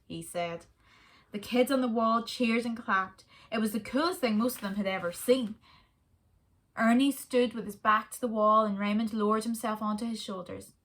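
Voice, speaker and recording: Fairly high Irish woman reading a story. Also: fairly bright tone. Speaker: volunteer reader.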